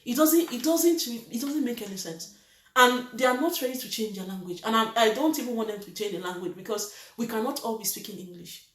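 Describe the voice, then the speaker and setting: A woman's voice: fairly high pitch, lively intonation, fairly quick, roomy sound. A Nigerian woman talking to camera in a vlog, earnest and emphatic, repeating her points. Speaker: vlogger. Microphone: reads from a list below the camera.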